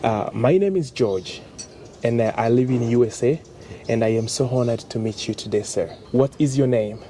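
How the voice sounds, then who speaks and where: A man's voice: mid-range voice, moderate pace, dark tone. A man interviewing guests in a casual talk, encouraging them with short affirmations. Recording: faint background noise.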